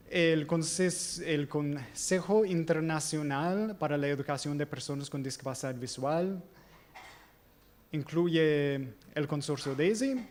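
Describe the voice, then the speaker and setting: A man from the United States who speaks Spanish as a second language with an American accent, giving a conference presentation with many fillers and self-corrections. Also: a little high. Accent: American English accent in Spanish. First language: English. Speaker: invited presenter. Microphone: microphone.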